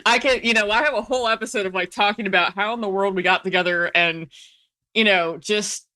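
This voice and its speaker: A woman's voice: mid-range voice, a little fast, sharp tone. An American woman in her thirties telling personal stories casually as a podcast guest, candid and emphatic, often acting out quotes. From United States. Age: 30s. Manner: candid, chatty, emphatic, self-quoting. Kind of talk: casual personal storytelling in conversation.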